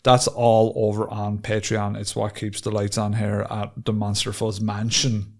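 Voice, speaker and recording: A man's voice: fairly low pitch, monotone, unhurried, creaky, a little mellow. A man co-hosting a podcast in casual, jokey chat, recorded on a studio mic. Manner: chatty, jokey, plugs the show. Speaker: podcast co-host. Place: home studio.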